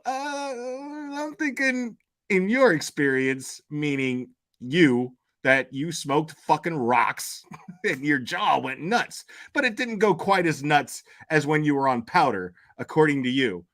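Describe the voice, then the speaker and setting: A man's voice: mid-range voice, fairly bright tone. A man hosting a commentary show, talking over clips in a sarcastic, profane, stammering way. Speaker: commentary host. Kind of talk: mocking reaction commentary.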